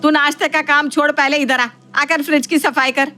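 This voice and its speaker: A woman's voice: high voice, level tone, moderate pace, pushed and bright, soft background music. A woman playing a fussy, middle-aged mother-in-law in an audio story, obsessed with cleaning and prone to nagging. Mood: scolding, irritated. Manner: scolding at family, falsely polite to guests. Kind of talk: Hindi audio story acting.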